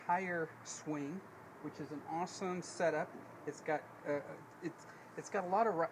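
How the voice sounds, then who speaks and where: An American man giving a casual how-to demonstration as host of a DIY video, in a folksy, rambling way, often correcting himself. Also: fairly high pitch. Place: outdoors beside a vehicle. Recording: noisy on-location camera audio.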